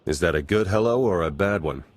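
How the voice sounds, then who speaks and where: A man's voice: low-pitched, expressive, fairly quick, no echo. A man playing an easygoing, wry spy in a video game, casual and matter-of-fact. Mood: wry, businesslike. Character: wry, easygoing male spy briefing his team.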